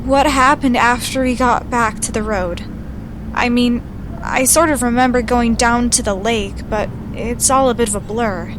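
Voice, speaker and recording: A woman's voice: a little high, fairly even intonation, normal speed, lightly acted. A woman playing a feisty, sarcastic young woman in an audio drama. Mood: confused. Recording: clearly noisy background.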